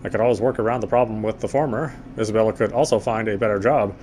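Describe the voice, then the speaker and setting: An actor playing a guarded, dry-witted young man who cares quietly, speaking his inner thoughts and dialogue in a wry, introspective way. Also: fairly low pitch, steady tone, quick pace, slight background noise, natural voice. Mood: reflective. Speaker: game protagonist. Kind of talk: first-person inner monologue and dialogue.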